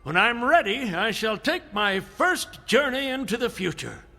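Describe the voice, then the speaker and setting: An actor playing a proud, excitable inventor professor with grandiose, deliberate declamation in an old-time radio comedy drama. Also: male voice, very high voice, vocal fry, full character voice. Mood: excited, grandiose. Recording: reverberant radio drama with music behind.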